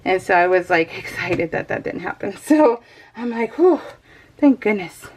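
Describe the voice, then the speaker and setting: Chatty, excitable woman vlogger, giggly. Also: mid-range voice, normal speed. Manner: addresses viewers familiarly. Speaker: craft vlogger hosting a scrapbooking video. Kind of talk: casual chatty voice-over while crafting.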